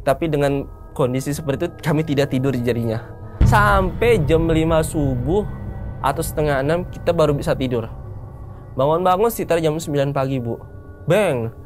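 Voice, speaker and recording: A man's voice: on the high side, a little hiss or hum. A man of about thirty, casually recounting a personal story in informal, colloquial speech. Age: around 30. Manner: informal, colloquial, acts out bits of dialogue. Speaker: storyteller, guest recounting a mountain hike.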